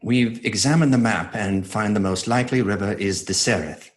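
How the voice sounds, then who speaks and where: An actor playing an earnest Victorian gentleman who reads out his journal in clipped entries in a radio drama. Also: on the low side, normal speed, close to an everyday voice. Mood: grim. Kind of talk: dramatic radio-play narration. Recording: noisy sound-effect ambience behind.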